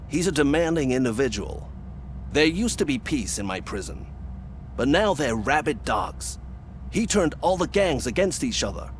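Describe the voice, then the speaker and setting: Self-assured prison boss, game cutscene, medium pitch, conspiratorial, faint background noise. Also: male voice. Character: prison warden, grown man, grave, wary and self-assured. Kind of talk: video game cutscene acting.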